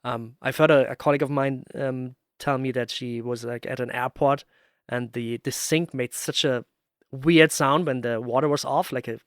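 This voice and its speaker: A man's voice: mid-range voice, fairly even intonation, normal speed, smooth, no echo, clean studio sound. A man hosting a podcast, chatting casually and searching for his words as he tells stories. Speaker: podcast host. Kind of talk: casual interview chat.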